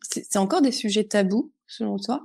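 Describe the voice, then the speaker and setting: Mellow-voiced woman hosting. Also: medium pitch, fairly quick, vocal fry. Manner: hesitant, searching questions. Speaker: podcast host. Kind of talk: casual interview questions.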